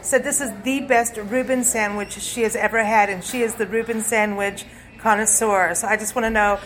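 A woman's voice: mid-range voice, fairly even intonation, normal speed, fairly bright tone. A woman hosting a casual on-location interview show in a chatty, effusive way. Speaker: radio show host. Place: on location at venues in town. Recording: noisy on-location recording with ambient sound.

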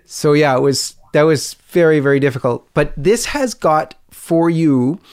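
A man hosting an interview show, speaking in a halting, deliberate and sympathetic way. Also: fairly high pitch, a little mellow, clean tone. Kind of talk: conversational interview.

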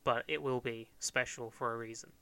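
Bright-voiced young man from Kent. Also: medium pitch, steady tone, moderate pace, no room sound. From Kent, England. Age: 20s. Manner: joking, rambling, laughs often. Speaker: podcast co-host. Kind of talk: casual chat.

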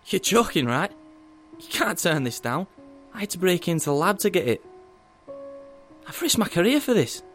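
A man's voice: high voice, big pitch swings, normal speed, pauses often, faint music behind. A man playing a smug, menacing government agent in an audio drama, speaking with condescending emphasis. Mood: bitter, indignant.

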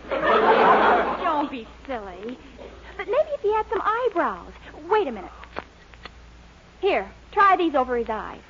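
A woman's voice: high voice, very animated, takes it slowly, pauses often, heavily acted. An actress playing a fretful, bossy young woman, scolding and exasperated, in a 1940s radio sitcom. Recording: noisy, muffled 1940s radio with audience.

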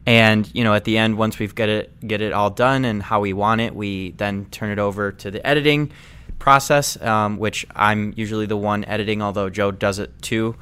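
A man's voice: lowish voice, fairly even intonation, moderate pace, fairly bright tone, clear, resonant tone. A man who produces and edits a workplace podcast, explaining things earnestly step by step with many fillers. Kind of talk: casual podcast chat.

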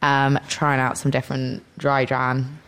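A young woman co-hosting a podcast, her voice low, nasal and gravelly with fry. She talks in a loose, rambling, self-deprecating way, like chatting with a close friend. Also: monotone, unhurried, a bit bright, a little hiss or hum. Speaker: podcast co-host. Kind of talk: casual chat.

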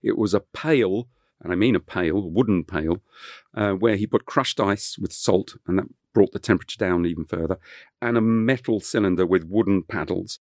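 Middle-aged man, deep and low, dry recording; rambling storyteller guest with a French first language. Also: normal speed, darkish tone, no room sound. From England (Kent). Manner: rambling, many fillers and restarts. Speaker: chef guest. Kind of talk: relaxed interview storytelling.

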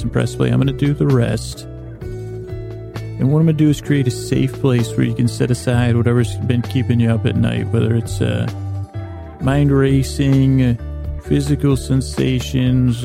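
Creaky, mellow man rambling softly to sleep. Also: mid-range voice, no echo, studio quality. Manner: meandering, halting, repeats and trails off. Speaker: podcast host. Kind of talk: rambling bedtime podcast monologue to help listeners sleep.

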